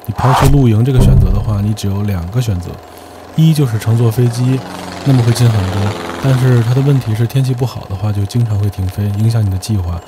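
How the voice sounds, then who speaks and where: A man narrating his travel vlog in a calm, explanatory way, giving practical tips. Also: fairly low pitch, quick pace, background noise. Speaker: travel vlogger. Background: background music.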